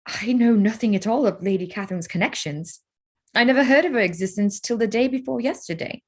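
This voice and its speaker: A woman playing a candid, spirited young gentlewoman in a staged period drama reading, forthright and earnest. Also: on the high side, fairly quick, lightly acted. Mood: earnest, curious.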